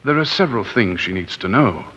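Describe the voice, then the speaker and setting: Deep-voiced ruthless cult leader, smooth and grand, hard edge, old dull radio sound. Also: male voice, lively intonation, a little fast, bright, forceful tone, a little hiss or hum. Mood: grandiose. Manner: smooth, grandiose when preaching, threatening when crossed. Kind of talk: 1950s radio drama acting. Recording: dull lo-fi 1950s radio broadcast.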